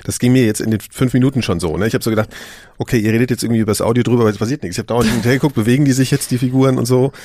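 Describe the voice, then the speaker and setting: A man's voice: mid-range voice, racing, mellow, dry recording, studio quality. A man chatting casually and giving his impressions in colloquial speech, often seeking agreement with tag questions.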